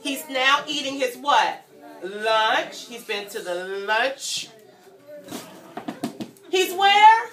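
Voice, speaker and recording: A high-pitched woman leads an interactive children's story time at an unhurried pace. She is animated and keeps asking questions for the children to answer. The recording is roomy and distant, with children around her. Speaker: guest reader and teacher at children's story time. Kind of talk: interactive read-aloud to young children. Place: indoor room with a group of children. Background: children's voices.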